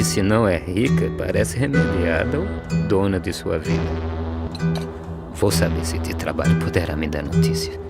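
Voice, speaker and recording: A humble migrant farmhand from an animated film, weary yet earnest, with a Brazilian accent. The low voice recites dramatic verse, and music plays clearly underneath. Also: male voice. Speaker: narrator and lead character of animated verse drama.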